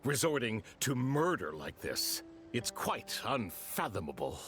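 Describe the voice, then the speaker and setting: A man playing a genteel, formal merchant in a game, speaking politely and deliberately, aggrieved and guarded. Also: mid-range voice, sing-song, fairly bright tone. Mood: aggrieved, dismayed. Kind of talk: game character dialogue.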